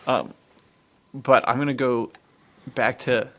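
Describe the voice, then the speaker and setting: A young American man co-hosts a podcast in loose, slangy chat. He sounds enthusiastic, with a noticeable creak in his mid-pitched voice. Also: takes it slowly, fairly bright tone. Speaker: podcast co-host. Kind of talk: casual chat.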